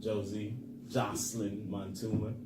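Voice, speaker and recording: A man's voice: lowish voice, measured pace, over a noisy crowd. A young man from Haiti, co-hosting a motivational podcast in a casual, earnest way, with plenty of fillers. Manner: relaxed, earnest, many fillers. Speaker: podcast co-host. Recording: echoey room with background noise.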